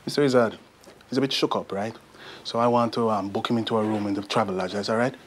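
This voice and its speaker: A man's voice: medium pitch, normal speed, close to an everyday voice. Undercover police sergeant, male actor: mid-range, streetwise, blunt, sceptical, crime drama, noticeable background noise. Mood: placating, smooth. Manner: blunt, slangy, challenging. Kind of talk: naturalistic TV crime drama acting.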